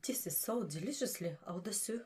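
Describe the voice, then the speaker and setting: A woman's voice: mid-range voice, animated, fairly quick, noisy background, natural voice. A woman playing a vain, indulgent celebrity diva in an audio drama, affected and haughty. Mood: gushing.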